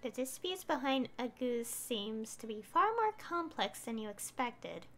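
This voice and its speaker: Expressive high-voiced woman streamer. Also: unhurried. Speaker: game streamer. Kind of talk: casual livestream game commentary. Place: stream voice-over with game audio. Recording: game music behind.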